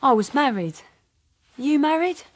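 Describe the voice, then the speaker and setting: An actress playing an ageing, weary working-class London prostitute in a radio drama, speaking plainly and colloquially in a coaxing way. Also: female voice, high-pitched, animated, a little slow. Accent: London working-class English. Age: older. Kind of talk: naturalistic radio drama acting.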